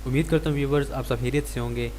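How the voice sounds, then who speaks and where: A man's voice: fairly even intonation, racing, a little mellow. A man with a Pakistani accent giving an explanatory law lecture, reading passages out and then explaining them, mixing in English terms. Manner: code-switches between Urdu and English legal terms. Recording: noisy recording with low hum.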